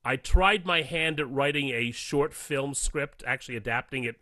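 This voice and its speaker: A middle-aged man answering as an interview guest in a halting, thoughtful way. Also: mid-range voice, pushed and bright, no room sound. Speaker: guest, writer. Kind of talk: casual chat.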